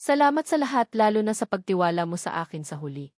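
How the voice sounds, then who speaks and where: A woman voicing a young bride who once worked as a maid, speaking with a steady, grateful voice. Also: medium pitch, quick pace, no room sound, studio quality, lightly acted. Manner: steady, firm voice.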